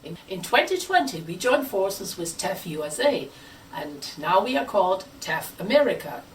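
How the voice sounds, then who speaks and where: A woman giving a chatty, playful presentation in an animated way. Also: medium pitch, normal speed, far from the mic, soft background music. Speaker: theatre group member presenting its history.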